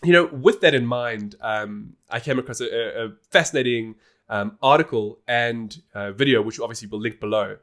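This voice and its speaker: A man's voice: mid-range voice, normal speed, fairly bright tone. A man hosting a podcast, explaining things in a casual, informal and emphatic way. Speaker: podcast host.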